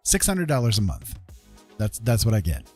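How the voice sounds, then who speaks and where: Male livestream host, lowish voice, casual confessional chat, halting delivery, faint music underneath. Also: huge pitch swings, darkish tone, husky.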